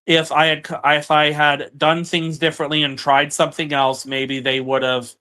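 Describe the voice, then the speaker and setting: A man argues over the phone in a frustrated, accusatory way, circling back to the same complaints. His voice sits a bit high for a man. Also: little pitch movement, normal speed, bright, forceful tone, clean tone. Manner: frustrated, accusatory, repeats himself. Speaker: partner on a phone call. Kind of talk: heated personal argument.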